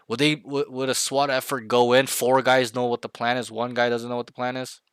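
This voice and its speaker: A man's voice: mid-range voice, flat delivery, a little fast, a bit bright, smooth, no room sound, studio quality. A man coaching a younger player, blunt and emphatic, repeating key points to drive them home. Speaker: game coach. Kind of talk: coaching session, direct feedback.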